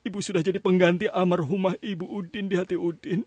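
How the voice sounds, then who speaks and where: An actor playing a remorseful young husband who has come home, speaking in a pleading, apologetic way in a radio drama. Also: male voice, high voice, steady tone, quick pace, darkish tone, dry recording, dull audio.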